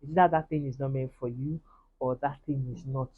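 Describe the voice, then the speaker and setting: Woman, Nigerian accent, breathy husky tone, earnest personal testimony, muffled recording. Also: a bass voice, steady tone, moderate pace. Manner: earnest, heartfelt, talks straight to viewers. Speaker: vlogger.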